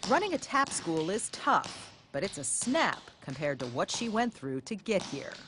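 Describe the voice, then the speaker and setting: A woman correspondent narrating a scripted television news feature in a lively, expressive way. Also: lowish voice, normal speed, very noisy. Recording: music bed underneath.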